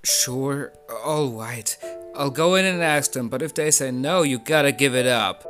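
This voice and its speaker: A man voicing an easygoing, somewhat reluctant high school boy in an anime fan dub. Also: a little slow, fairly bright tone, soft background music, lightly acted. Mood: reluctant, giving in.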